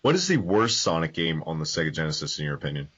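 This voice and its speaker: A man co-hosting a casual video game podcast, quick and opinionated, asking pointed questions. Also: rumbling low, sing-song, a bit bright. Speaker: podcast co-host. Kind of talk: casual chat about video games. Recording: dull lo-fi podcast audio.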